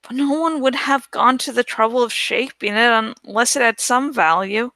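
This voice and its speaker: A woman's voice: on the high side, moderate pace, bright, forceful tone, dry recording, pitched below the performer's own voice. A woman voicing an earnest, stubborn young male villager in a storybook reading, speaking insistently. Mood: defensive. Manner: insistent, protesting.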